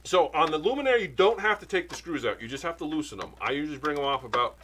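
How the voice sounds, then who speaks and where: Male instructor, casual and jokey, sidetracks easily, animated delivery, light background noise. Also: fairly high pitch, fast. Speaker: sewing machine instructor. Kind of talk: casual demo with banter.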